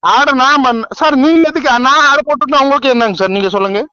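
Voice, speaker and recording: A man with a high voice speaks quickly as a loan agent on the phone. He keeps addressing the other person as sir. The recorded call sounds muffled and lo-fi. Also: expressive, pushed and bright, dry recording. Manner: repeatedly addresses listener as sir. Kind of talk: phone sales pitch turning argumentative.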